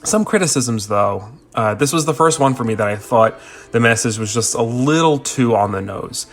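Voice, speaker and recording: A man's voice: mid-range voice, moderate pace. A man with an American accent giving a prepared spoken review as a podcast listener, articulate and orderly. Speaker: listener leaving feedback for a podcast.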